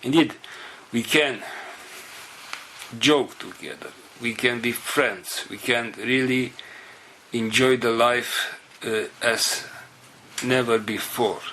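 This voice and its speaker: A man whose first language is Romanian, leading a spiritual group and giving an unscripted talk in slow, halting fragments. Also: mid-range voice, bright, forceful tone, a little hiss or hum.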